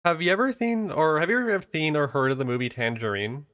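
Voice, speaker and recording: A man hosting a casual live interview, halting as he goes and often repeating his questions. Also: a little high, very animated, a bit bright. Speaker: interviewer, stream host. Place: live online stream.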